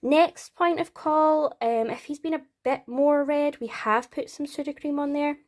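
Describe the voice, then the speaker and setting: A woman's voice: sits high, fairly even intonation, unhurried. A woman from Scotland with a Scottish accent, talking through household products in a casual, upbeat vlog with small laughs and fillers. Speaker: parenting vlogger.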